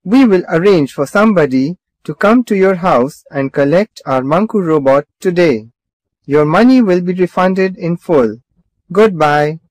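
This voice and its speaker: Stern customer service man for a kids' cartoon, male actor: fairly high voice, formal, firm, closely recorded. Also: lively intonation, measured pace, a little mellow, no room sound, rough recording.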